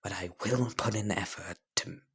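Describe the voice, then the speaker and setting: A man plays an upbeat young hero in a told story. He is breezy and unfazed, with a husky, low-pitched voice and a casual delivery. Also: normal speed, a bit bright. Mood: hopeful.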